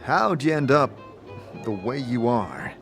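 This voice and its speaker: An actor plays a wry male adventurer in a fantasy audio drama. He speaks slowly and haltingly at a medium pitch, with awkward curiosity and wide swings in tone. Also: big pitch swings, a bit bright, faint music behind, full character voice. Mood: curious. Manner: halting, awkward, understated, dry. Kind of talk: audio drama character acting.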